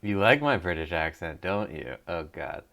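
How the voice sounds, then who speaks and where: A man's voice: low voice, lively intonation, normal speed, bright, forceful tone, slight background noise. A man hosting a let's play, reading the choices aloud and joking about them with frequent laughs. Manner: jokey, reads options aloud, laughs often.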